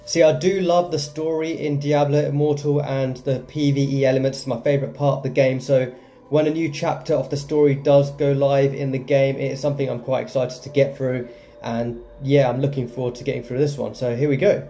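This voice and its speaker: A man's voice: mid-range voice, level tone, brisk, mellow, a little hiss or hum. A man giving casual commentary as he plays through a game's story quest. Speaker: gaming video creator playing through a story quest. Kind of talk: casual game playthrough commentary. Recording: game music behind the voice.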